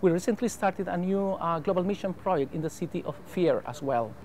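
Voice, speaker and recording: A man's voice: high-pitched, darkish tone. Salvadoran man in his 30s, Spanish-accented English, earnest pastor being interviewed, lapel mic outdoors, faint noise. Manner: earnest, careful English with filler pauses. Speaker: pastor and mission president. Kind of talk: interview answers. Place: outdoor rooftop terrace over a harbor.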